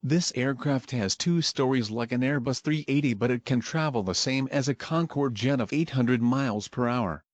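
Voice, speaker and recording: A man playing a formal morning TV news anchor, reading a scripted bulletin in a measured way. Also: mid-range voice, dry recording, natural voice. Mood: composed, serious.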